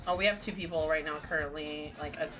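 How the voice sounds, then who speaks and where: A woman game streamer chatting and reacting casually, excitable and sweary. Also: deep voice, fast talker, over music, rough recording.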